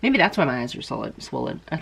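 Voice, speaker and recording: A woman's voice: very deep voice, very animated, fast, vocal fry, a bit bright, husky. A middle-aged woman, a little congested, chatting casually and rambling a bit as she walks through a makeup tutorial. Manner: chatty, rambling, self-deprecating. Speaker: beauty vlogger.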